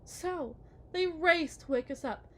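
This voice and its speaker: Woman acting as high-voiced, slow-talking possessive yandere kidnapper. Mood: wistful, coaxing. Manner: theatrical, drawn-out, swinging from hushed to emphatic. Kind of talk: ASMR roleplay monologue, dramatic acting.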